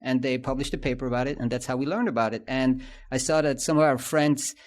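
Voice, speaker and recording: A man with a Swiss German accent talks shop in a relaxed podcast conversation. He thinks out loud and often pauses on "uh" and "um" before going on. His voice sits at a mid pitch. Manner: thinks aloud, many fillers and restarts. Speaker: podcast co-host. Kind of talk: casual industry chat.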